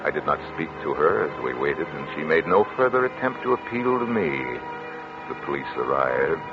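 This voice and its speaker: An actor playing a controlling, calculating doctor in a formal, measured 1940s radio suspense style. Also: male voice, rumbling low, lively intonation, over music. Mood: cold, measured. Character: controlling, coldly calculating male doctor narrating his crime. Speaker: radio actor, lead and narrator. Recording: muffled, noisy vintage 1940s radio recording.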